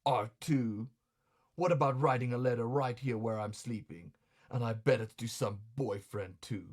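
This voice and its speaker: A man's voice: mid-range voice, moderate pace, darkish tone, close to an everyday voice. A man playing a grumbling, hot-tempered, vain male customer in a comic story, blustering with sarcastic, colloquial indignation. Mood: scornful, irritated.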